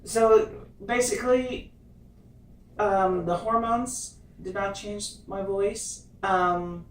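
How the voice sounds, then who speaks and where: A woman's voice: medium pitch, fairly even intonation. A woman hosting a casual chat who speaks hesitantly, restarting sentences and searching for words. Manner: halting, hesitant, restarts and fillers. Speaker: host. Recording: roomy, distant mic, faint noise.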